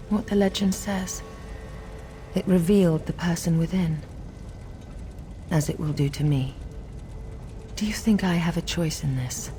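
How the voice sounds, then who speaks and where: An actress playing a solemn, resolute elven queen in a fantasy game, speaking in a formal, stately, measured way. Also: on the low side, warm tone, lightly acted. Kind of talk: scripted fantasy game voice acting. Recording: music behind the voice.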